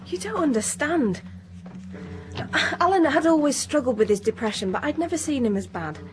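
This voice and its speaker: A woman's voice: sits high, animated, moderate pace, darkish tone, a little hiss or hum. A woman acting an indignant, defensive academic under suspicion in a radio drama, with a pleading manner. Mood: defensive, confessing. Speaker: actor.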